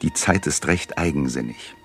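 An actor playing Time itself, narrating a classical radio play in measured, poetic, reflective speech. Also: male voice, very deep voice, a bit bright, slightly rough, faint music behind, heavily acted. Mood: musing. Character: Time personified, measured, all-knowing male narrator.

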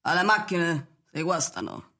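A folksy pipe-smoking farm woman, chatty, bright forceful voice, radio play. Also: medium pitch, measured pace. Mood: gossipy. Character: Mrs Baxter, folksy pipe-smoking hill farmer woman, plainspoken and welcoming. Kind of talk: Italian radio drama acting.

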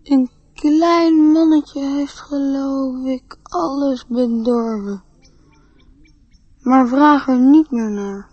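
Radio play young boy: dreamy, trusting, unhurried, slightly muffled dry recording. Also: high voice, warm tone, no echo. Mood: wistful.

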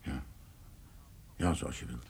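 Hesitant, husky older farmer. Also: male voice, very deep voice, darkish tone, heavily acted. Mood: contrite, subdued. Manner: hesitant, halting. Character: remorseful, awkward older male farmer visiting an old neighbour. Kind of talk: naturalistic radio drama dialogue.

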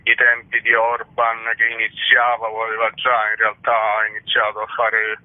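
A man's voice: medium pitch, completely flat delivery, normal speed, no echo. Man talking over a tinny phone. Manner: talkative, hedging with "diciamo". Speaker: playwright and director, guest. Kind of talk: radio interview by phone. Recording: tinny phone line, dry.

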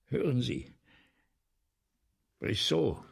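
An actor playing a vain, aggrieved aging French playwright in a radio play, husky and gravelly, with a brooding, self-important delivery. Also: male voice, mid-range voice, very animated, very slow, full character voice. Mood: brooding, weary. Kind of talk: 1970s German radio play acting.

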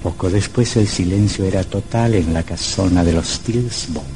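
A sinister storyteller from an old radio horror play. His low voice narrates in an ominous, theatrical and literary manner, over a clearly noisy vintage recording. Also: normal speed.

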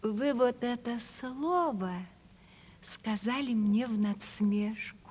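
An actress playing a coy, sentimental provincial housekeeper in a radio play, with folksy, lamenting speech. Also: female voice, a little high, slow, a little mellow, breathy edge. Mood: plaintive, coy. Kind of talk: theatrical radio play acting. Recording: reverberant 1970s radio play studio recording.

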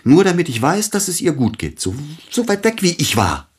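An actor in a radio play playing a grieving, jealous widowed pianist who speaks formally and broodingly, with hesitations. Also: male voice, fairly high pitch, wildly swooping pitch, normal speed, slightly rough, full character voice. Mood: agitated, bitter. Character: grieving, jealous widowed male pianist, courteous but probing. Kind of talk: German radio play acting.

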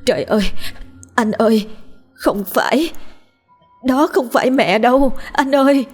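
A woman's voice: a little high, a little slow, a little mellow, soft background music, pitched above the performer's own voice. A woman voicing a terrified middle-aged wife in a ghost story, frantic and stammering with panic. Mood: desperate, tearful.

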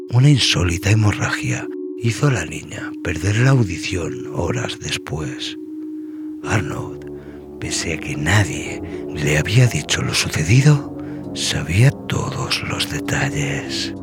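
A man giving a grave, dramatic scripted horror narration, with phrases that often sink into a breathy hush. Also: low voice, big pitch swings, takes it slowly. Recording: voice over loud music and rain.

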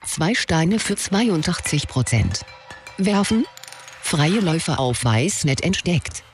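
An actor playing a computer system that announces lists of tasks and notifications impersonally in a sci-fi radio drama. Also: male voice, a little high, big pitch swings, slow, full character voice. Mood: impersonal, announcing. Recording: music behind the voice.

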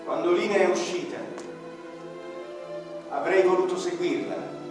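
A man giving slow, reflective first-person narration in a radio drama, sometimes dropping to a hush, with a violin playing behind him. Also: high voice. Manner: slow, reflective, hushed at times. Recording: distant, echoey hall with long reverb. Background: violin music.

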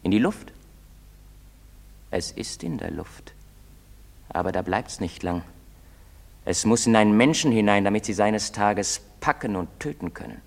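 An actor playing an anxious, brooding young outcast in love in a stage drama, speaking haltingly. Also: male voice, on the low side, animated, vocal fry, full character voice. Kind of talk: stage-drama acting in German. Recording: very reverberant hall sound.

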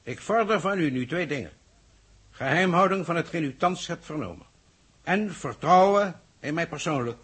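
An actor playing a grave, secretive, authoritative government minister in a 1960s Dutch radio play, speaking formally and with measure. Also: male voice, on the high side, animated, moderate pace, fairly bright tone, breathy edge. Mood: commanding. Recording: old 1960s radio drama recording.

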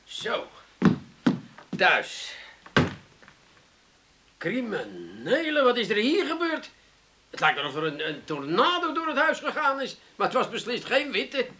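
An exasperated housekeeper from a comic radio play. The voice is high and very lively, grumbling aloud and exclaiming over and over. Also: male voice, measured pace, pauses often, full character voice. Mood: dismayed. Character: exasperated, grumbling housekeeper coming home to filth.

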